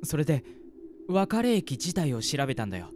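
A man's voice: fairly high pitch, very animated, moderate pace, background noise. A man playing an earnest, mild young friend who also narrates an amateur radio drama. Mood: concerned.

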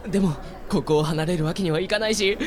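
A man's voice: high-pitched, fast, natural voice. A man playing a harried, grumbling young cake seller, the straight man of a radio drama. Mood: flustered. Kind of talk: amateur Japanese radio drama acting.

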